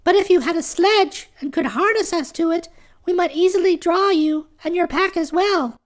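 A man voicing a proud young talking deer in a very high, squeaky falsetto for a storybook reading. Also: normal speed. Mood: earnest.

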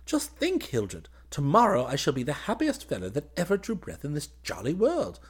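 A man's voice: fairly high pitch, wildly swooping pitch, normal speed, breathy edge, pitched above the performer's own voice, exaggerated voice. A man voicing a boyish, happy young army officer in a story, with eager, exclamatory chatter. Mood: happy, excited. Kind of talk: dramatised audiobook reading.

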